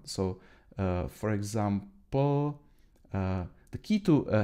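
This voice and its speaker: This Czech-accented man in his thirties talks through his on-screen notes in English, his second language, pausing often on fillers and restarting sentences. His voice is mellow and warm in tone, and his pitch rises and falls in a lively way. He sounds close to a webcam mic. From Czech Republic. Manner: halting, many fillers and restarts. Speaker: trainer. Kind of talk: screen-share tutorial.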